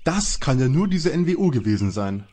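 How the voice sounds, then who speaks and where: Guy doing a nervy teen detective, husky voice, pitch jumping around, radio play spoof. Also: big pitch swings, a little fast, warm tone. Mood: casual. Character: anxious, excitable teenage boy detective. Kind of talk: audio drama parody acting.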